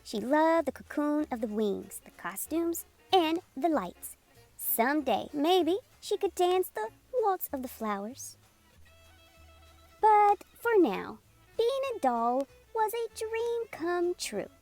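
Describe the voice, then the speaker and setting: Animated, high-pitched woman reading a storybook. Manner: animated, unhurried storytelling for young children. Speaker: children's storytime host and reader. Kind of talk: expressive picture-book read-aloud narration. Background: music playing under the voice.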